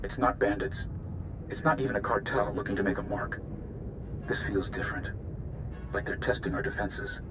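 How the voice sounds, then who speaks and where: An actor playing a restless, combative space warrior lieutenant in a video game, terse and wary. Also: male voice, fairly low pitch, steady tone, normal speed, pauses often, background noise, lightly acted. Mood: grim, urgent. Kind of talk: video game dramatic acting. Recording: music under the voice.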